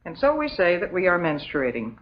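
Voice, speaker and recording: An actress playing a calm, motherly substitute teacher with an American accent, giving a measured, patient lesson in an old educational film. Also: fairly low pitch. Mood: patient, instructive. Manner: measured, patient, instructional, prim. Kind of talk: scripted classroom lecture, old educational film. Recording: dull, muffled old educational film soundtrack.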